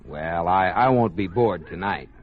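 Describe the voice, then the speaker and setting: An actor playing a self-important, easily flustered husband with an American accent in an old radio sitcom. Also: male voice, deep voice, expressive, a little slow. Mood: nervous, evasive. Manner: pompous, stammering when cornered. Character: self-important banker husband, nervously evasive, protesting too much. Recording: muffled old radio recording, studio audience.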